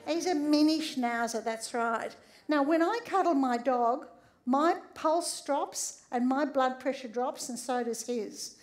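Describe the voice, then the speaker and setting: Older woman, slightly croaky, high voice, lively stage lecture with frequent audience check-ins. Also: measured pace. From Australia. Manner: conversational, often tags lines with "right?". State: a bit croaky. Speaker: speaker giving a public talk. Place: large theatre stage.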